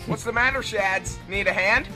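A man's voice: high-pitched, moderate pace, over music, close to an everyday voice, rough recording. A man voicing a cocky young male hedgehog speedster, flippant and taunting, in an amateur fan fiction reading. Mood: teasing.

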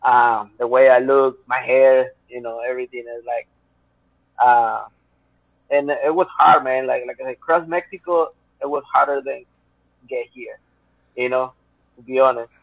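A man's voice: mid-range voice, fairly even intonation, moderate pace, creaky, no echo. Interview guest: Spanish-accented man in his 30s on a dry, tinny video call, earnest and rambling. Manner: earnest, rambling, full of fillers. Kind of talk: personal storytelling in interview. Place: home room. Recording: tinny phone-like call line.

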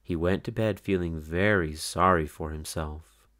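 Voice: low-pitched, unhurried, a bit bright. A narrator reading a children's picture book aloud in a scripted storytelling style.

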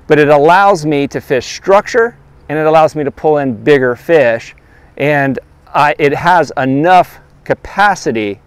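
A man in his thirties, a fishing guide, showing his gear outdoors by a lake and talking straight to the camera in a relaxed, practical way. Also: a little high, clean tone, no echo. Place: outdoors by a lakeshore. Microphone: lapel mic.

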